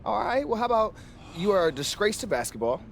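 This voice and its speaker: An actor playing a cocky, joking young American best friend, brash and slangy, in a video game scene. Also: male voice, high-pitched, big pitch swings, fast talker, vocal fry, a little hiss or hum, heavily acted. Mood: mock-bragging, teasing. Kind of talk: naturalistic video game cutscene acting.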